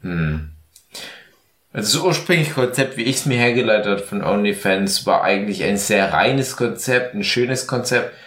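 Guy on a podcast, kinda drunk, lowish voice, rambles with irony, roomy echo. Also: lively intonation, moderate pace, fairly bright tone. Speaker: podcast host. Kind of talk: casual comedic podcast chat. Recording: echoey, roomy sound.

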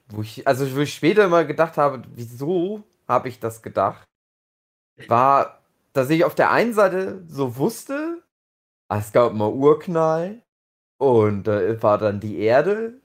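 Male, animated intonation, middle register, casual and halting personal storytelling. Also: medium pitch. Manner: halting, searching for words. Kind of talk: casual reminiscing chat.

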